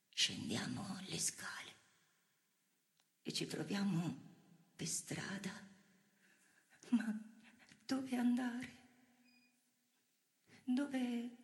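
An actress playing a woman activist who recounts a bloody uprising in a dramatic theatre monologue, in measured phrases broken by pauses. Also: mid-range voice. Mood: hushed, fearful. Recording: prominent music under the voice. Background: loud theatrical music score.

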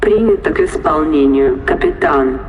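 An actor playing the obedient computer voice of a spaceship in a science fiction audio drama, clipped, formal and procedural. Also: male voice, a little high, animated, normal speed, narrow, tinny audio, a little hiss or hum, natural voice. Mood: impassive, formal.

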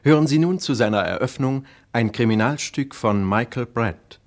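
A man making a measured, solemn 1950s radio announcement on an archival recording. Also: medium pitch, lively intonation.

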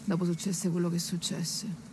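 A woman's voice: fairly low pitch, little pitch movement, normal speed, lightly acted. A woman playing a mournful townswoman from a poor quarter, narrating a radio drama. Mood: mournful recollection. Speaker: narrator in a radio stage serial. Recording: faint background noise.

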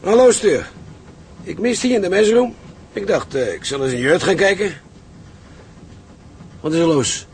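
Gruff old salt, gravelly voice, blunt but poetic, radio play, bit of rumble behind. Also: male voice, animated, moderate pace, long pauses. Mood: inquiring. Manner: blunt, philosophical. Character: gruff, seasoned seaman, poetic and commanding. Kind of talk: Dutch radio drama acting.

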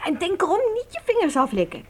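An actress playing a practical, gently strict pioneer mother in a radio drama. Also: very high-pitched, huge pitch swings, fast talker, a little hiss or hum, exaggerated voice. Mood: patient, chiding.

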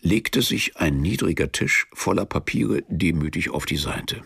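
A man narrating a scripted literary radio drama as a wry storyteller, measured and deadpan. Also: low-pitched, creaky, heavy background noise, exaggerated voice. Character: dry, wry storyteller of a travel tale.

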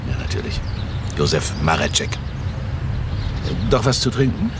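A deep-voiced, shady male businessman in a radio crime play. He is smooth and formally polite with a needling, sardonic edge, over clear background noise. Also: very animated, measured pace, exaggerated voice. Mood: curious, sly. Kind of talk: German radio crime drama acting.

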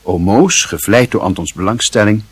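A man reading scripted literary narration for a radio play in a measured storytelling manner. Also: on the low side, husky, dry recording.

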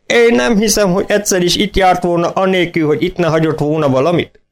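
A man voicing a jovial, chubby, boastful farmer who teases loudly and laughs a lot, in a read-aloud story. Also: sits high, pitched above the performer's own voice. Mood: jovial teasing. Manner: loud, jolly, teasing.

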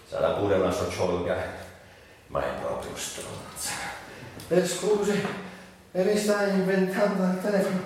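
Man's dramatic monologue, very echoey. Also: a little high. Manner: brooding, broken phrases with dramatic swings. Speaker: actor performing a dramatic monologue. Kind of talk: theatrical literary monologue for radio. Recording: very echoey room, distant, faint noise.

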